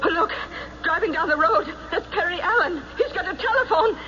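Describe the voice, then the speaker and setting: An actress playing a distraught, defiant farm wife in an old radio drama, emphatic and quick to bristle. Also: female voice, very high-pitched, animated, sharp tone, exaggerated voice. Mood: frantic. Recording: muffled vintage radio recording, faint noise.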